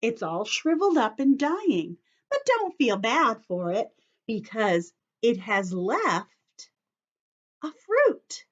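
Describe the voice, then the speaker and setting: Woman, primary-teacher read-aloud for little kids: high-ish, very animated pitch, simple phrasing, slightly muffled lo-fi sound. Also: takes it slowly. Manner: expressive, simple teacherly phrasing with questions to children. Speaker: teacher reading aloud to young children. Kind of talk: read-aloud lesson for children with explanations.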